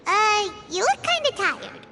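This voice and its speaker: A tiny, excitable floating sprite girl chatters away in a very high, bouncing voice that leaps all over the place. She talks about herself in the third person, and game music plays clearly behind her. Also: measured pace, heavily acted. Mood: wary. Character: tiny chirpy female floating companion creature. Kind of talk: video game voice acting.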